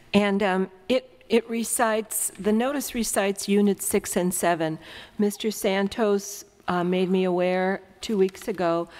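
A middle-aged woman with a lowish voice explains a point carefully into a desk microphone. She speaks in a council chamber, and her voice carries a long reverb. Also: darkish tone. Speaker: city councilor. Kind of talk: remarks at a council meeting.

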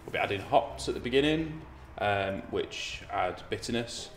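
Low, even-toned man in roomy space. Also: normal speed, a little hiss or hum. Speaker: brewer. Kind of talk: documentary interview explanation. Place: brick-walled room indoors. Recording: roomy echo, music underneath.

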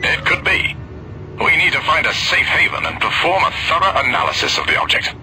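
A man voicing a steady, protective space pilot who speaks in a calm, formal way over radio chatter in a game. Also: a little high, fairly quick, vocal fry, heavy background noise, full character voice. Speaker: wingman ally in a space game.

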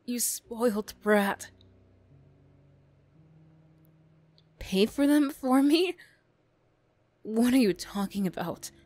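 A woman playing a defensive teenage school bully girl who reluctantly opens up, prickly and halting, in an emotional ASMR roleplay. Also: a little high, a little mellow, no room sound, pitched above the performer's own voice. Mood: indignant, incredulous. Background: music.

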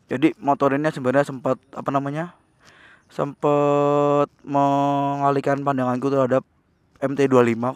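A young man chatting excitedly in a casual riding vlog, recorded on a helmet camera while on a motorbike in the city. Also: mid-range voice, clear, resonant tone, no room sound. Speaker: motovlogger. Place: on a motorbike on city streets, outdoors. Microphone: helmet-mounted action camera. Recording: loud wind and traffic noise.

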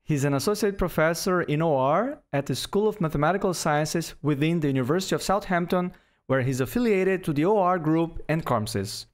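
A man in his forties hosting an interview podcast, formally reading a guest introduction into a boom-arm microphone. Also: fairly quick. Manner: formal, reads bio at a steady clip. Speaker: podcast host. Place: small home office. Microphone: studio microphone on boom arm.

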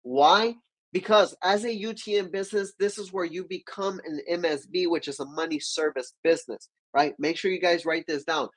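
A man's voice: on the high side, normal speed. A young man with a stuffy cold hosting a business live stream, talking with energy and asking viewers to comment. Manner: energetic, gestures with both hands, keeps asking viewers to comment. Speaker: livestream host. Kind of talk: business livestream teaching. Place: small dark-walled home studio.

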